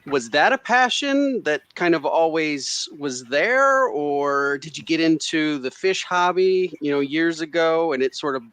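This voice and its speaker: A man on a livestream asking questions in a curious, hedging way, padding his questions with "you know." His voice is smooth and clear, at a typical male pitch, and it sounds dry and close on a call mic. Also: lively intonation, a little slow, few pauses, a bit bright, dry recording. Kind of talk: casual livestream interview. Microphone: video call mic.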